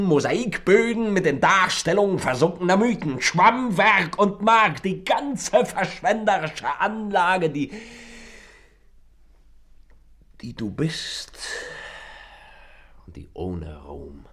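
An actor playing a rapturous male lover in a literary radio play, declaiming poetic lines fervently in a low, gravelly, often breathy voice. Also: fairly bright tone, heavily acted. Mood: feverish, ecstatic. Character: rapturous male lover, a stranger in a rented room.

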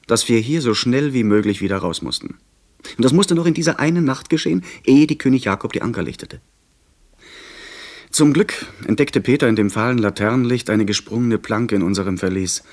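Earnest young master telling a sea adventure played by a man, fairly low voice, measured literary radio-play narration. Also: darkish tone, natural voice.

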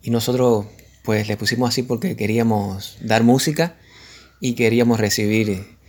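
A man with a Cuban accent giving explanatory interview answers in short, measured phrases, in a conversational style. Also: fairly low pitch, steady tone, a little mellow. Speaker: interview guest, musician and composer.

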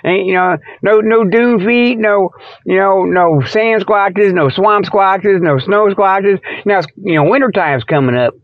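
A man's voice: sits high, measured pace, no echo, narrow, tinny audio. A man hosting a comic radio report, rambling off the cuff in a folksy, colloquial, repetitive way and amused by his own jokes. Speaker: host of a comic Bigfoot report show. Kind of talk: rambling improvised comic monologue.

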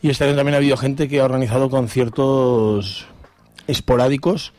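A man's voice: medium pitch, steady tone, fairly quick, darkish tone, no room sound. A man with a Spanish accent from Spain hosting a radio show in an informal, hesitant chat, often repeating himself into a close microphone. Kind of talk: casual live radio chat. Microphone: close studio radio microphone.

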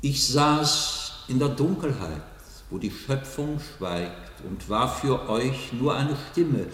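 A solemn, godlike, declaiming figure. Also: male voice, medium pitch, expressive, takes it slowly, a bit bright. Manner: grave, sweeping, declamatory. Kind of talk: radio play acting. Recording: echoey hall from far off.

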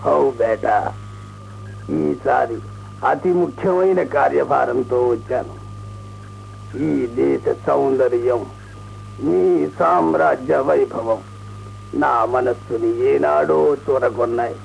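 An actor in a radio play playing a proud, short-tempered old Persian emperor who declaims with indignant pauses. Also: male voice, medium pitch, creaky, a little mellow, lo-fi sound. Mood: grand, persuasive. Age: older. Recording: radio drama with music.

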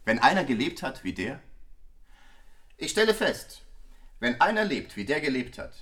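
A man's voice: mid-range voice, big pitch swings, normal speed, a bit bright. A stern, scornful man of law in a radio drama. He is pompous and formal, stressing words heavily, with a mid-range voice that swoops animatedly. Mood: indignant scorn. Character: stern, scornful male jurist demanding a harsh sentence. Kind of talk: German radio drama acting.

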